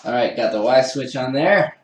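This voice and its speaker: A man's voice: medium pitch, lively intonation, normal speed, roomy sound. Guy live-streaming a build at home, chatty, jokes at himself, voice bouncing round the room. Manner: chatty, self-deprecating, laughs often, talks to viewers. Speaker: livestreamer building a 3D printer kit. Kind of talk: casual live-stream chat while assembling. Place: home room with a garage downstairs.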